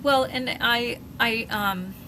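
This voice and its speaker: An older woman co-hosting a podcast in casual chat, rambling and often pausing to search for words. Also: fairly high pitch. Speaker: podcast co-host. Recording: faint background noise.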